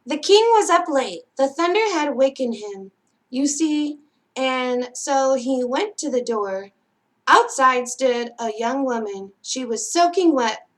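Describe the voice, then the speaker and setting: A woman reads a children's tale aloud in a fairly high voice. She narrates in the style of a library storytime, telling the story as written. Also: animated, slow.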